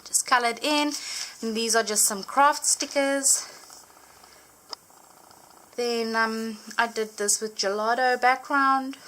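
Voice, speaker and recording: A woman's voice: fairly high pitch, steady tone, slow, long pauses, slight background noise, poor audio. Chatty woman, Afrikaans native speaker. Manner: chatty, filler-heavy, gently enthusiastic. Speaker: Bible journaling vlogger. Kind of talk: casual show-and-tell narration. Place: indoors at a table, hands over an open book.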